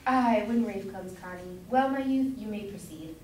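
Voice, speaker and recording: A woman's voice: mid-range voice, lively intonation, normal speed, darkish tone, natural voice. A woman playing a chatty, naive, gushing citizen's wife in a staged reading of a period comedy. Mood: coaxing, gushing. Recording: echoey room from far off, faint noise.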